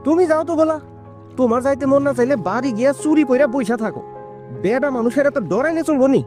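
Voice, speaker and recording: A man's voice: very high voice, fast, a little mellow, faint music behind. A man voicing a bold, impatient village fisherman in a cartoon horror story, with brusque colloquial speech and a rural Bangladeshi accent. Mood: annoyed.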